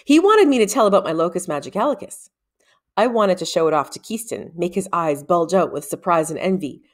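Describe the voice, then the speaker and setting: A woman reads fiction aloud in a slightly low-pitched voice. She phrases deliberately, giving the narration the expressive shape of storybook reading.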